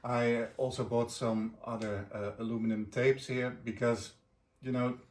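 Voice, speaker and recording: Male video host, middle-aged, mid-pitched, informal gear chat with a halting, hesitant flow. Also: flat delivery. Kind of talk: casual gear review chat.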